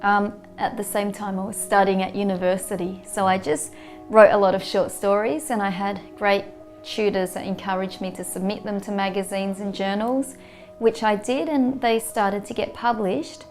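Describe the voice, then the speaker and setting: A woman's voice: medium pitch, fairly even intonation, normal speed, faint music behind. A woman in her thirties with an Australian accent, a writer answering interview questions in a thoughtful way with light laughs. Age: 30s. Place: indoor study with bookshelves.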